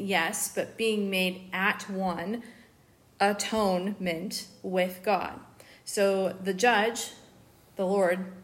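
A woman co-hosting a Bible study podcast, explaining word meanings in a calm, teacherly way. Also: medium pitch. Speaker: podcast co-host.

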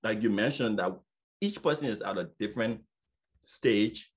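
A man's voice: on the low side, animated, normal speed. A man who works as a business coach, speaking on a casual panel. He stutters lightly, with repeated sounds and many "you know" fillers.

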